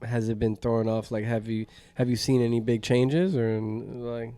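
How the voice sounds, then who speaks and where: A man's voice: mid-range voice, steady tone, moderate pace, dark tone, clean tone, no echo. A young man co-hosting a podcast, asking questions in a laid-back, profane way. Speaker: podcast co-host. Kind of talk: casual chat.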